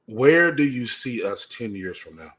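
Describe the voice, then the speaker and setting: A young man chats casually with his wife, teasing and joking. His voice sits mid-pitch and his intonation jumps around in a lively way. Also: a little slow. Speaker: co-host. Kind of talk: casual couple chat.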